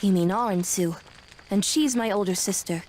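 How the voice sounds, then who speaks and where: Composed tree-born being played by a woman, mid-pitched. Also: normal speed, a little hiss or hum, lightly acted, rough recording. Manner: formal, calm, articulate. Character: composed, courteous, centuries-old genderless tree-born being. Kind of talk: anime video game voice acting.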